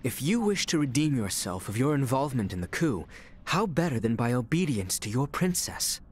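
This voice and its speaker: A man's voice: mid-range voice, very animated, normal speed, a little hiss or hum, lightly acted. An actor playing a stern, loyal royal retainer in a video game, formal and admonishing in his phrasing. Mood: urging, reproving. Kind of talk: video game cutscene acting.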